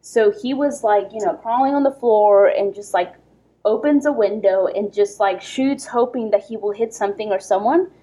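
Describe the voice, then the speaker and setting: A woman in her thirties from Ecuador, a podcast guest telling a story in casual chat, lively and full of fillers. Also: mid-range voice, moderate pace, slightly rough, poor audio. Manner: lively, animated retelling with many fillers.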